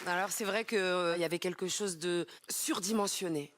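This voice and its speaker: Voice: mid-range voice, normal speed, fairly bright tone, dry recording. A talent show coach giving effusive, gushing praise to a singer. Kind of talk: effusive on-stage judging.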